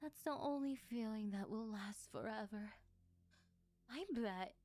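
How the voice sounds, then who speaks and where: Woman acting a slow, whispery, possessive demon lover. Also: on the high side, a little mellow, close to an everyday voice. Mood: eerie, intimate. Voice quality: breathy, often dropping into whispers. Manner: slow, teasing, menacingly intimate. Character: possessive, taunting female sleep paralysis demon, yandere lover. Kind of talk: ASMR roleplay monologue. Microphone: close ASMR microphone.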